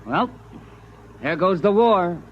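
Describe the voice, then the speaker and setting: A blunt army private with a very high-pitched male voice. He speaks emphatically in 1950s radio style, on a muffled recording with faint noise. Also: expressive, takes it slowly. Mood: flat certainty. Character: blunt, matter-of-fact male army private, technically minded.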